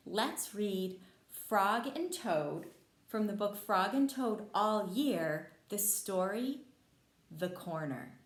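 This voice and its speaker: A woman reading a storybook aloud for young children, with expressive, simple child-directed talk. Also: mid-range voice, slow pace. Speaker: pre-K read-aloud host. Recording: echoey room.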